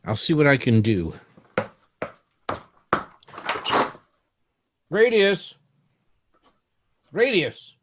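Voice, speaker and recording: A man's voice: a little high, sing-song, takes it slowly, noisy background. A man playing an uneasy scientist in a radio drama, formal and hesitant, by turns deferential and commanding.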